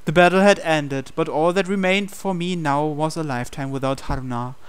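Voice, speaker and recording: Clear, higher-voiced man narrating dramatically. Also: fairly quick. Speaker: playthrough narrator. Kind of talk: dramatic scripted narration, reading visual novel text aloud.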